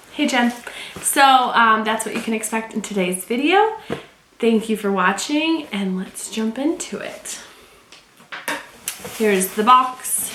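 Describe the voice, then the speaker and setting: A woman from Michigan chatting casually to viewers while unboxing and reviewing a leather tote at home. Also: a little high. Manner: chatty, talks to viewers while handling the bag. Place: home room. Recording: echoey room with faint noise.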